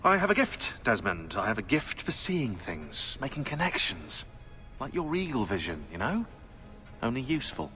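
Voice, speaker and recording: An actor playing a sardonic, eccentric British conspiracy researcher turned assassin in a video game, chatty, opinionated and sarcastic. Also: male voice, medium pitch, sing-song, moderate pace, bright, forceful tone, faint music behind. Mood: wry. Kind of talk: game cutscene dialogue.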